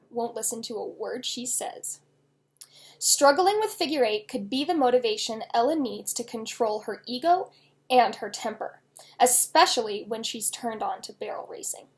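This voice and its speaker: A woman author reading her own children's novel aloud in an expressive storytelling style. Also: a little high.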